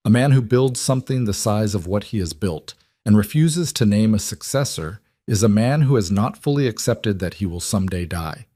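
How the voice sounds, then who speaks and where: A man voicing a blunt male crime boss in his sixties, gravelly and calm in a plain-spoken, lecturing way. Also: fairly low pitch, moderate pace, few pauses, dry recording, studio quality. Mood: frank. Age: 60s.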